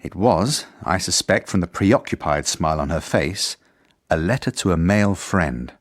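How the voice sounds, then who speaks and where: A man's voice: low-pitched, lively intonation, normal speed. A man playing an earnest, formal, mild-mannered head teacher, narrating letters and journal entries in a radio drama. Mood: confiding.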